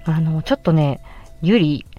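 A woman hosting a casual solo podcast, chatting in an animated way with emphatic exclamations as she looks back on a project. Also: low voice, a little slow, highs cut off, soft background music. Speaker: podcast host and amateur voice actress. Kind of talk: casual solo chat looking back on an audio drama.

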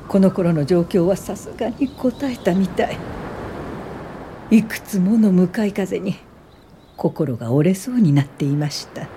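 A woman's voice: fairly low pitch, dark tone, a little hiss or hum, lightly acted. An actress playing an earnest woman welfare activist, narrating her past in careful, polite radio drama style. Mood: weary. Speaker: narrator and lead character.

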